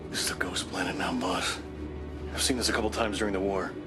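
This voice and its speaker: A man's voice: low voice, fairly even intonation, quick pace, loud music behind the voice, close to an everyday voice. An actor playing a blunt, cynical male war veteran in a game drama, terse in manner. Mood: grim. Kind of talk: film drama acting.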